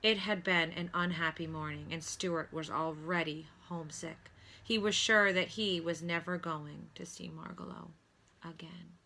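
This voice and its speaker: A woman narrates a children's storybook as a teacher would for pupils. Her voice sits a little low for a woman yet has a bright, forceful edge, and her reading is expressive. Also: a little slow, creaky.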